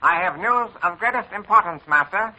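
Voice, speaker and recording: A man's voice: high voice, animated, moderate pace, bright, forceful tone, slightly rough, exaggerated voice. An actor playing a servile male henchman who speaks clipped, broken English in a 1940s radio drama. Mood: urgent servile. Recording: dull lo-fi old radio broadcast.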